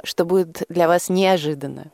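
A woman speaking unscripted as a guest in a radio conversation, halting and stretching words as she thinks. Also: on the low side, creaky, darkish tone, no echo. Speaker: guest, project organiser.

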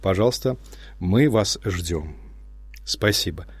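A man with a fairly low voice addresses listeners without a script. He is earnest and thoughtful but hesitant, pausing and restarting often. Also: animated, husky, dry recording. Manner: hesitant, with frequent filler sounds and restarts. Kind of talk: unscripted spoken address to listeners.

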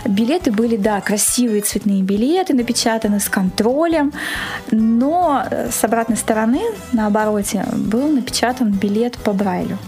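High-voiced woman telling a story, music behind. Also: moderate pace, warm tone. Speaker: interviewed event organizer. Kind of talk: casual spoken interview recollection.

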